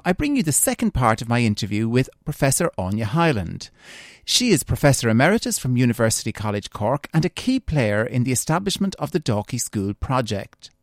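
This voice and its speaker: A man with an Irish accent hosting a radio education programme, reading a scripted introduction and then asking interview questions in a clear, measured way. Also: mid-range voice, no echo, studio quality. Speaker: radio show host.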